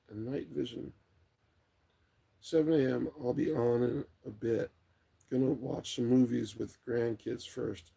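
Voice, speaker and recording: A middle-aged man with a raspy, tired late-night voice, hosting a livestream in a rambling, relaxed way as he chats and reads comments aloud. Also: medium pitch. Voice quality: raspy, gravelly. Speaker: livestream host. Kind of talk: casual chat, reading viewer comments aloud.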